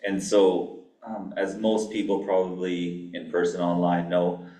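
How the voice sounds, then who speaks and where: A man from Canada giving an academic lecture as a guest pharmacy professor, with a flat monotone delivery, dry asides and many ums. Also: deep voice, unhurried. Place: lecture hall. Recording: echoey hall, mic far off.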